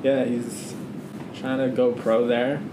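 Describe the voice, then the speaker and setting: A man hosting a podcast in an easygoing, casual way, agreeing often and keeping things light. His voice sounds roomy and echoey. A clear hum of background noise runs under it. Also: medium pitch, flat delivery, takes it slowly. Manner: laid-back, laughs easily, many "yeah"s. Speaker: podcast host. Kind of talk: casual conversation.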